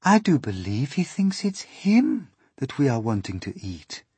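A man voicing a kindly, motherly female ladybird in a storybook, speaking in a gentle, reassuring manner. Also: medium pitch, sing-song, breathy edge, no room sound, voice pushed up, exaggerated voice. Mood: pitying. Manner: gentle, soothing, unhurried.

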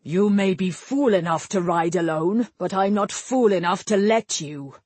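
Woman voicing a gruff, husky old warrior king. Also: mid-range voice, unhurried, a little mellow, no echo. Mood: gruff, accusing. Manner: rustic dialect words, blustering, commanding. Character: massive grey-haired warrior king, gruff, domineering, hot-tempered father.

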